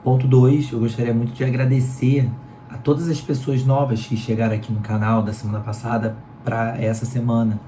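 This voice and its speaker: A man with a Carioca accent, hoarse from a cold, giving a chatty book review. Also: medium pitch, little pitch movement, a little fast, mellow, a little hiss or hum. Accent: Carioca Brazilian Portuguese. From Rio de Janeiro, Brazil. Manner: chatty, leans on "né?" tags. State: hoarse, sore throat from a cold. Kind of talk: casual book review talk. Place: room at home in Rio. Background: electric fan running.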